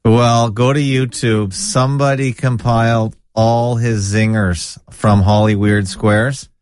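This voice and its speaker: A man with a nasal, middle-pitched voice hosts a loose, joking conversation and offers blunt opinions. His sound is dry and close, with no room around it. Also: fairly even intonation, slow pace, darkish tone, clear, resonant tone, no room sound. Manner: chatty, jokey, blunt opinions. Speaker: podcast host. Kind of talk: casual chat.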